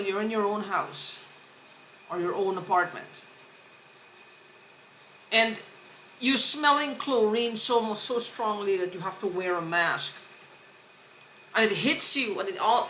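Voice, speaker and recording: A woman talking to camera in a rambling personal monologue, halting, restarting and repeating words, earnest and insistent. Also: fairly high pitch, pushed and bright, slight background noise. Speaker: vlogger. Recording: echoey room recording.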